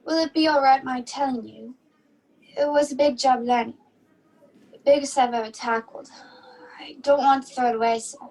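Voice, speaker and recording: A young-sounding actress as a music-hall memory act in a radio play, high in pitch. The delivery is formal and dutiful, reciting facts with pride as the woman acts it out. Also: fairly even intonation, moderate pace, long pauses, darkish tone. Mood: weary, earnest. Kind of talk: stage-style radio play acting.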